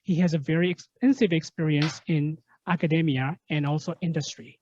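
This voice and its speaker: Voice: mid-range voice, fairly even intonation, a little fast, darkish tone, a little hiss or hum. A moderator formally introducing speakers in a webinar over a video call. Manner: formal, reads from a script. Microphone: video call microphone. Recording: dry, dull video call audio.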